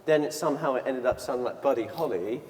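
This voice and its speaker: A man's voice: mid-range voice, quick pace, a little mellow. A man who is a band guest in an interview, speaking loosely and casually, with some grammar slips. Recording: studio with long reverb.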